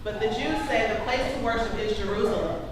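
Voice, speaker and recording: A woman acts out an earnest, excitable biblical village woman in a church play. Her high voice carries theatrically across a very echoey hall, picked up from a distance. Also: brisk, very noisy, close to an everyday voice. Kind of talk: live church dramatization. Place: church hall, live stage performance.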